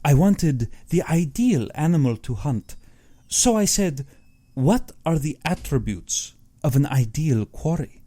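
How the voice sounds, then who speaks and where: Man playing pompous, mellow aristocratic general, theatrically expressive. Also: on the high side, unhurried. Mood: smug, relishing. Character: urbane, boastful, cold-blooded older aristocratic general and hunter. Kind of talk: audiobook character acting.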